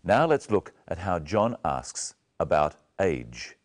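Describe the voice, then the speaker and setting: A man's voice: deep voice, sing-song, slow pace. A man presenting a scripted English lesson, instructing learners in carefully enunciated phrases.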